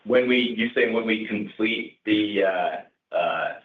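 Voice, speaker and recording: A man speaking as a company executive, answering questions on an earnings call in a halting way peppered with "you know". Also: on the low side. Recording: echoey, distant, phone-like conference call.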